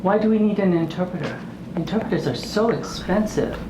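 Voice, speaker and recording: Woman acting a low-voiced, skeptical doctor. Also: lively intonation, fairly quick, natural voice. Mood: puzzled, reluctant. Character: skeptical, cost-minded female doctor. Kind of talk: acted dialogue in an educational video. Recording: echoey room with clear background noise.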